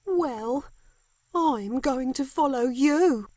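A woman voicing an earnest, lovelorn young woman in a children's cartoon, speaking slowly and expressively. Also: high-pitched, over music, exaggerated voice. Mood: insistent. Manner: slow, simple, expressive delivery. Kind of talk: children's cartoon story acting.

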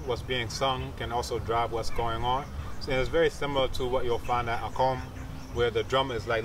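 Guy in his 30s explaining stuff casually outside, bit distant, insects and traffic behind him. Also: medium pitch. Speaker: researcher and martial arts teacher. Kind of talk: informal explanatory interview talk. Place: outdoors among trees and bushes. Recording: outdoor recording with insects and traffic noise.

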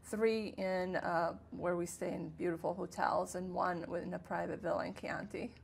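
A woman's voice: fairly low pitch, steady tone, normal speed, creaky, a little hiss or hum. A woman in her thirties, born and raised in Italy, chatting easily as an interview guest with plenty of fillers, recorded on a lapel mic in a small studio. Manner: warm, chatty, frequent fillers. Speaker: guest, tour business owner. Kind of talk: casual interview talk. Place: small studio set, indoors.

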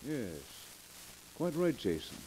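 A man's voice: fairly high pitch, big pitch swings, slow, dark tone. An actor playing an anxious, commanding wealthy businessman, brusque and emphatic in 1940s radio drama style. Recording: hissy crackling 1940s radio recording.

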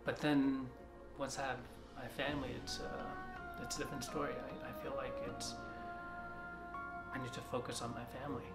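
Man, 40s, from China, mid pitch with a gravelly edge, gentle halting delivery, roomy room sound. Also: little pitch movement. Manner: soft-spoken, halting with frequent restarts. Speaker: artist. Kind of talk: reflective interview talk. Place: art studio, indoors. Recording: roomy studio sound with music behind.

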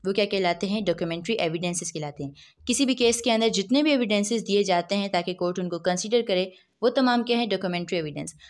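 A woman's voice: medium pitch, fast talker. A woman with a Pakistani accent giving an explanatory lecture, teaching by posing questions and then answering them. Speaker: law lecturer. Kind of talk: explanatory lecture, narrated over slides.

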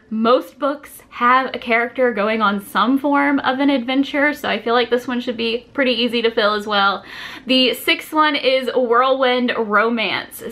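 A woman's voice: fairly high pitch, pushed and bright. A woman in her twenties hosting a casual, chatty explainer to camera, speaking informally with plenty of filler words like "like". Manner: informal, filler-heavy with frequent "like". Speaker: video host presenting a reading challenge. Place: small furnished home room, indoors.

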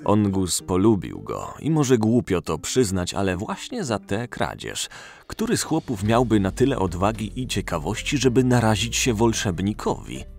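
A man narrates a scripted audio story in a very low voice. His delivery is lively and varied in pitch, with a creaky, gravelly edge. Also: normal speed.

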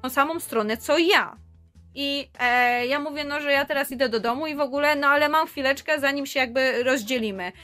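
Young woman with a high voice, chatty vlog storytelling, talks with her hands, faint music under it. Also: brisk, sharp tone. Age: young adult. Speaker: vlogger. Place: small indoor room.

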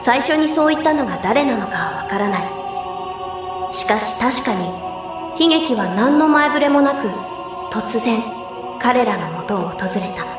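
A woman's voice: on the high side, lively intonation, a little slow, over music. A woman playing a solemn, melodramatic mystery narrator, delivering grave, portentous scripted narration in an audio drama. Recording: echoey voice-over.

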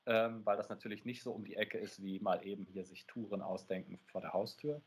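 A man's voice: lowish voice, fairly even intonation, a little fast, slightly rough. A man in his thirties explaining things casually as an interview guest on a video call. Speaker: interview guest, event organiser. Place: dim indoor room. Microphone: wired earphones on video call.

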